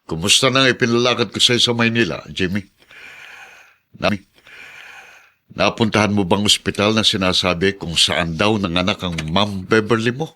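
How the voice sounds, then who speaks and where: Stern mayor, suspicious husband, low forceful voice, accusing, radio drama. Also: male voice, normal speed. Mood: brusque, questioning. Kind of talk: Tagalog radio drama acting.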